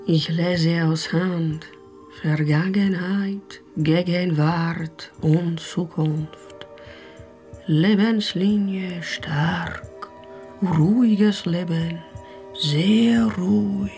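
Mysterious fortune teller, super slow n creaky, broken phrases, radio play, faint music behind. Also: female voice, on the low side, dark tone, full character voice. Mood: ominous, mysterious. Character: mock fortune teller, a teasing female friend in disguise. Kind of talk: German radio drama acting.

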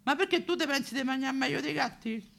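High creaky Roman tramp. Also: male voice, brisk, exaggerated voice. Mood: sly, confiding. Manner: rambling, foul-mouthed, breaking into singsong and hushes. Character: boozy, cheeky, lonely male homeless tramp of fallen nobility. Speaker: stage actor in a solo show. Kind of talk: theatrical comic monologue addressed to listeners.

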